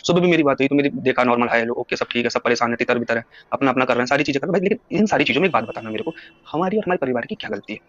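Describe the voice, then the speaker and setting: Very fast-talking man, dull call recording. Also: medium pitch, lively intonation, fairly bright tone, no room sound. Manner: insistent, long-winded, persuasive. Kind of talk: casual phone conversation. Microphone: phone call.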